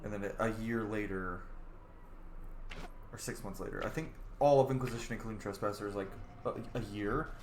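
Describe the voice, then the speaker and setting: A man's voice: fairly low pitch, fairly quick, a little hiss or hum. A man casually narrating his video game playthrough, rambling and thinking aloud as host. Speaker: host, gamer. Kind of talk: casual gaming commentary. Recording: roomy sound, faint game music.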